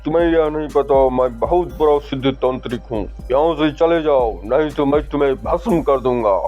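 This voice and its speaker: A proud tantric sorcerer, voiced by a man, barks scornful orders in a raised yet dark voice. Built for a horror cartoon, the recording sounds dull and lo-fi. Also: fairly high pitch, normal speed, soft background music, lightly acted. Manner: gruff, scornful and bossy. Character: boastful, threatening male tantric sorcerer. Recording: muffled lo-fi recording.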